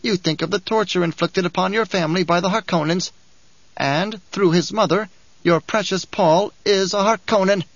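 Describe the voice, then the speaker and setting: A man's voice: sits high, moderate pace, gravelly, no room sound, lo-fi sound, pitched above the performer's own voice. Bijaz, a man doing a whining, spiteful dwarf. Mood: mocking. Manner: whining, giggling, taunting. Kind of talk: audiobook character reading.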